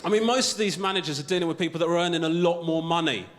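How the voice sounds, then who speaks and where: A man does stand-up on a TV studio stage before a live audience, his voice high for a man. He talks conversationally and keeps checking in with "right?". The sound carries a long hall reverb, with a lot of noise from the crowd. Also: rapid-fire. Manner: conversational, tags lines with "right?". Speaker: stand-up comedian. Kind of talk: stand-up routine.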